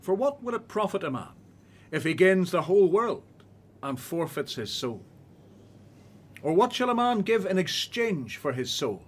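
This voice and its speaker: A man's voice: high voice, big pitch swings, normal speed, pauses often, breathy edge, heavily acted. A man playing a solemn religious teacher in a radio drama, declaiming to his followers. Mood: solemn, preaching.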